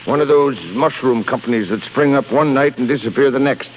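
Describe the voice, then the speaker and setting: An actor playing a gruff, stubborn old shipping boss with salty nautical talk, in 1930s radio drama style. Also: male voice, medium pitch, a little fast, close to an everyday voice. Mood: exasperated, indignant. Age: older. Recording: tinny, noisy 1930s radio recording.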